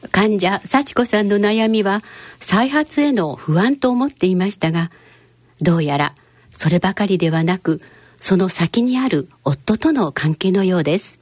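女性が演じる husky female radio drama narrator. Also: medium pitch, animated, moderate pace, darkish tone, dry recording, studio quality. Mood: explanatory. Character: female narrator explaining a clinic scene.

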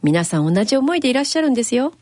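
A woman playing a brisk, polite and tactless hospital counselor in an amateur radio drama. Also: a little high, big pitch swings, darkish tone, no echo, studio quality. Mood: polite, businesslike. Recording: clear music behind the voice.

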